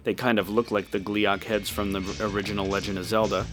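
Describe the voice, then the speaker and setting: A man's voice: lowish voice, flat delivery, fairly quick, a bit bright. A man giving casual walkthrough commentary on a video game. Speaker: gameplay walkthrough host. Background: game music.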